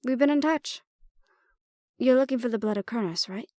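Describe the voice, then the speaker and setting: A woman's voice: on the high side, moderate pace, darkish tone, dry recording, studio quality, natural voice. A woman acting in an audio roleplay as an aristocratic archaeologist, sardonic, self-deprecating and prone to awkward rambling. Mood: composed, wry.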